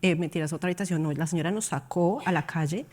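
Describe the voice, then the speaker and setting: A woman from Colombia, a panel guest at a conference, giving a personal account with hesitant pauses and fillers. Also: fairly low pitch, darkish tone. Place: conference hall.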